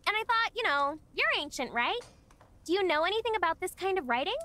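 A woman's voice: very high-pitched, big pitch swings, fairly quick, bright, forceful tone, slight background noise, full character voice. A woman voicing a sweet, earnest young girl in a video game, polite and a little hesitant. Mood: earnest, apologetic. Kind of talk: video game character acting.

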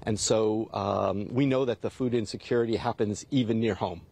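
A man's voice: on the low side, fairly even intonation, moderate pace, vocal fry, darkish tone, husky. A middle-aged man volunteering at a food drive, answering a reporter's questions outdoors in a friendly, plain-spoken, earnest way. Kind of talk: live TV interview answers. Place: outdoors at a church parking lot. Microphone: reporter's handheld mic.

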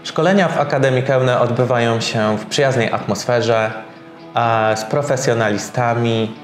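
Measured man in a roomy salon. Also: mid-range voice, steady tone. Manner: measured, slightly formal with filler pauses. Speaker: salon co-owner. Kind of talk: sponsored testimonial interview. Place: bright hair salon, indoors. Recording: roomy salon with soft background music.

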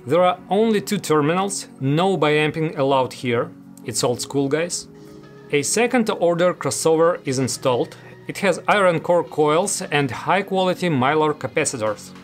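A man's voice: a little high, expressive, moderate pace. A man in his thirties giving a scripted product review as host, speaking to camera in an indoor room. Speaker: audio gear reviewer, host. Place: small studio room, indoors. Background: music.

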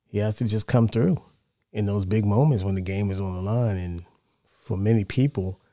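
A middle-aged American man giving casual sports commentary in a measured, conversational way, close on a studio mic. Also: fairly low pitch, dark tone, dry recording. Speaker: sports show host. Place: small home studio, indoors. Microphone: close broadcast mic on boom arm.

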